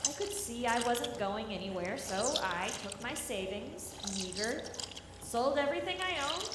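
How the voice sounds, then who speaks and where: A wry young woman space engineer, acted by a woman in a radio play, self-deprecating and guarded. Her voice sits fairly high. It rings through a very echoey hall with clear noise behind it. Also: expressive, a little slow. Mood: wry, candid. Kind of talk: live radio drama acting. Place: live convention stage performance in a hall. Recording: echoey noisy hall, long reverb.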